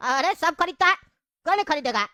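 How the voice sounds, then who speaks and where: A woman's voice: high voice, expressive, fairly quick, faint music behind. Woman doing a sly hawking paratha seller. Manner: loud hawking, menacing when crossed. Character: sly scheming male roadside paratha seller. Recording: noisy recording with clicks.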